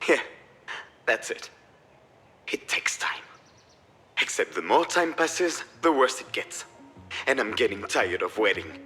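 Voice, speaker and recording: A man's voice: fairly low pitch, sing-song, slow pace, pauses often, pushed and bright, faint music behind, exaggerated voice. An actor playing a grieving, self-blaming father in a narrative video game, weary and wounded in his delivery. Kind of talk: acted visual novel dialogue.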